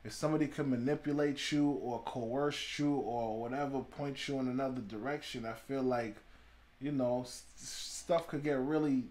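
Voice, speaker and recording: A man's voice: medium pitch, fairly even intonation, moderate pace, darkish tone. A man from Haiti, a podcast guest talking in casual chat, reflective and musing, often trailing off and restarting.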